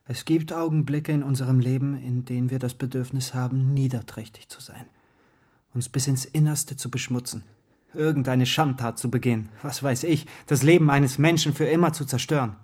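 An actor playing a troubled, self-loathing young man from a poor background in a German radio play, with hesitant, dramatic pauses. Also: medium pitch, fairly even intonation, a little mellow, husky, close to an everyday voice. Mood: bitter, ashamed. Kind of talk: German radio play acting with inner monologue.

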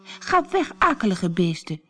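A woman voicing a proud, easily offended storybook woman in an exclamatory, expressive way. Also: on the low side, unhurried. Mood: alarmed.

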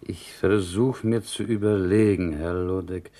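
A man's voice: deep voice, fairly even intonation, unhurried, warm tone. A man acting in a radio play as a meek, polite, stubbornly logical chess beginner who speaks hesitantly. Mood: polite, pondering. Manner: hesitant, courteous, naive, pondering.

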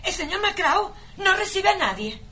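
Prim secretary, shrill, extremely high, bright, squeaky singsong, comic radio play. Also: female voice, sing-song, measured pace, heavily acted. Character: shrill, prim female secretary to a ruthless boss.

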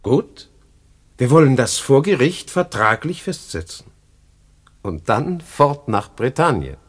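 An actor playing a brash, scheming seducer in a classical German radio play, with boastful, declamatory delivery. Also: male voice, mid-range voice, sing-song, slow pace, breathy edge, full character voice. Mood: boastful, provoking.

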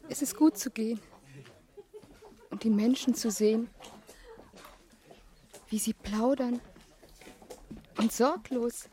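A woman's voice: fairly high pitch, slow, long pauses, dark tone. A woman acting a yearning young woman who voices her inner thoughts in a German radio play. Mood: reviving, dreamy. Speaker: actress in a radio drama.

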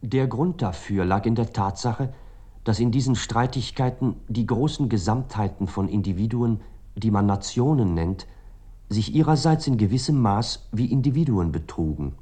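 Low, mellow reflective male narrator. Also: husky. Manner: measured, articulate, long flowing sentences. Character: reflective, ironic male first-person literary narrator. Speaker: narrator of a literary radio play. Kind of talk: literary scripted narration.

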